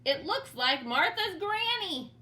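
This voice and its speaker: A man's voice: extremely high pitch, big pitch swings, slow pace, bright, forceful tone, voice pushed up, heavily acted. A woman playing a dramatic, suffering old man in a storybook, given to exaggerated groans and shrieks. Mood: indignant, aghast. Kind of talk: storybook read-aloud character voice.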